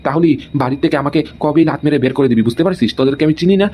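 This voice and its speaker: A man's voice: on the high side, rapid-fire, warm tone, lightly acted. A man voicing an indignant, stubborn husband in an amateur comedy cartoon, scolding and tacking questions onto his sentences. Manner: scolding, repeats tag questions. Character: indignant, stubborn husband guarding his hard-earned house.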